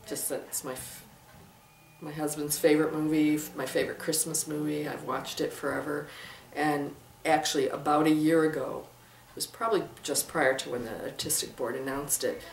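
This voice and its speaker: A woman's voice: deep voice, fairly even intonation, moderate pace, vocal fry. A woman in her fifties, a theater director, chatting casually in an interview. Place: indoors in a small book-lined room. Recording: roomy, distant mic, faint noise.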